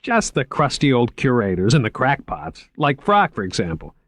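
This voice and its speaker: A man playing a high, eager, stammering young curator. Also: huge pitch swings, normal speed, a bit bright, dry recording, clean studio sound. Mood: opinionated. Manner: eager, stammering when flustered. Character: eager shy young male museum curator.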